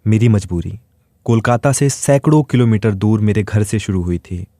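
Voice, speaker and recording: Mellow male story narrator, dry sound. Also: fairly low pitch, moderate pace, no room sound. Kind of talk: scripted first-person dramatic story narration.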